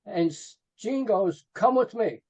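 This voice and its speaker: A man's voice: high voice, expressive, slow, darkish tone. Dull lo-fi New man chatting. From New Jersey, USA. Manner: informal, repetitive, peppered with "you know". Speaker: podcast guest, rock photographer. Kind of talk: casual reminiscing interview chat. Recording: dull lo-fi call audio.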